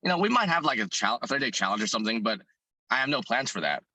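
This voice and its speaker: A man with a hoarse voice, a fitness content creator answering interview questions earnestly, thinking aloud and restarting his sentences. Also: medium pitch, big pitch swings, rapid-fire, sharp tone, dry recording.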